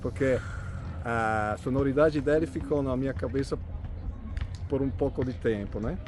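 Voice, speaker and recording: A man's voice: medium pitch, moderate pace. An Italian man, a native Italian speaker with an Italian accent, chatting as an interview guest and often repeating words while he searches for them. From Italy. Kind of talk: casual conversation.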